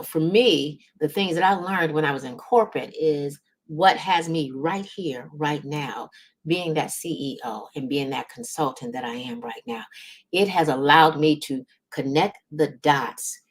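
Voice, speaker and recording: Low-voiced Southern woman, encouraging coach. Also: expressive, measured pace. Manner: encouraging, emphatic, folksy and direct. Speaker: host, business strategist and coach. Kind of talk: motivational livestream talk.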